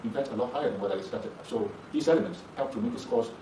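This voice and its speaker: A man's voice: mid-range voice, very fast. A male university lecturer whose first language is Chinese, explaining things in an interview with frequent "uh" fillers. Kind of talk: interview for a program promo. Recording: echoey room, distant mic, background noise.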